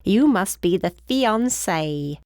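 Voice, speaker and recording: A woman's voice: medium pitch, animated, takes it slowly, darkish tone, no room sound, studio quality. A woman voicing a short, plump, cheerful older housekeeper with a British accent and a rambling, effusive, fussy manner in an audiobook. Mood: flirtatious, cheerful.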